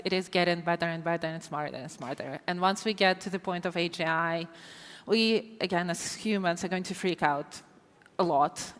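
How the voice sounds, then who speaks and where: Ukrainian accent woman on a panel, lowish voice, earnest, repeats herself getting going, big echoey hall. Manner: repeats words when starting, earnest and thoughtful. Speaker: panel speaker. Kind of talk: conference panel discussion. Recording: reverberant conference hall.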